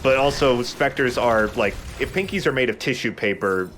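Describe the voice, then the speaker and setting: A man's voice: mid-range voice, normal speed, a bit bright. A man giving casual, explanatory gameplay commentary with some swearing, as the player hosting a let's play. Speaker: let's play host playing the game. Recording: faint background noise.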